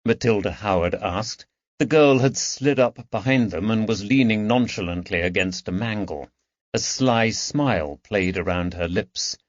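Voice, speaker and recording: A man's voice: lowish voice, a little slow, dry recording, lo-fi sound. A man reading scripted mystery fiction as an audiobook narrator.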